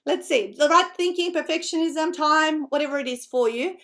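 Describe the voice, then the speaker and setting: High-voiced woman with a Macedonian-tinged Australian accent. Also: normal speed. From North Macedonia. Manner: energetic, chatty, frequent tag questions and laughs. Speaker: book-writing coach. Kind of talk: online seminar presentation.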